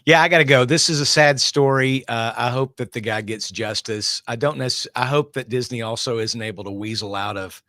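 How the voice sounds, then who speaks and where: A man's voice: mid-range voice, a little fast, few pauses, pushed and bright, no echo, clean studio sound. A man giving casual commentary as a guest on a panel.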